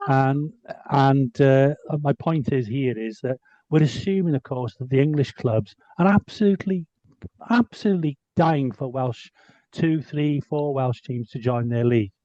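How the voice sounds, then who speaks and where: A man with a mellow voice tells a wry story during a rugby podcast. His recording sounds dull and dry. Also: mid-range voice, unhurried, no room sound. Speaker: podcast co-host. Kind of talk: casual rugby chat.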